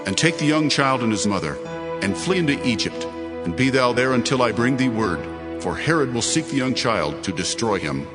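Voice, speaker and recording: A man's voice: mid-range voice, big pitch swings, normal speed, natural voice. Man playing a solemn commanding angel, music behind. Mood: urgent, commanding. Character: solemn male angel delivering divine commands. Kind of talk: dramatized scripture reading.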